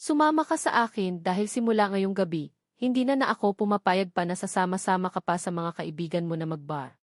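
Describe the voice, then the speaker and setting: A woman's voice: lowish voice, fairly quick, no room sound, close to an everyday voice. Lady doing a bossy possessive young fiance guy, serious, romance audiobook, dry recording. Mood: stern, possessive. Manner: serious, commanding. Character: possessive, stern young billionaire fiancé, man.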